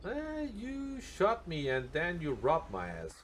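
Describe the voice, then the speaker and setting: A man's voice: a little high, wildly swooping pitch, unhurried, a bit bright. A man chatting casually in character as a paramedic in online game role-play. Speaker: live streamer role-playing as a paramedic. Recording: game sirens and vehicle noise behind.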